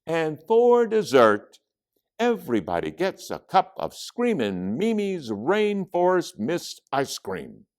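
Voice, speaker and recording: A perky young college woman from a storybook, played by a man, friendly and lifted high. The delivery bounces around with lots of animation. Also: wildly swooping pitch, slow, heavily acted. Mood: cheerful. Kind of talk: children's storybook read-aloud.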